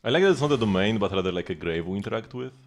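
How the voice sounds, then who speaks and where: Low-voiced man chatting through game commentary. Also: animated, very fast. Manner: rambling, thinking aloud. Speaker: game streamer. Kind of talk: casual live gameplay commentary. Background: game music.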